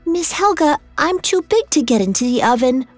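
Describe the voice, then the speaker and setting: A woman's voice: high-pitched, expressive, moderate pace, no room sound, faint music behind, pitched above the performer's own voice. A woman voicing a timid, starving child in a storybook tale, pleading meekly and stammering. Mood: pleading, protesting.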